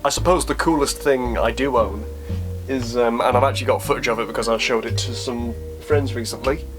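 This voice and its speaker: A man's voice: mid-range voice, steady tone, brisk. Male vlogger, twenties. Mid-range voice, informal, self-deprecating Q&A delivery, music in the background. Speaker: YouTube host answering viewer questions. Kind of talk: casual chat to camera, Q&A.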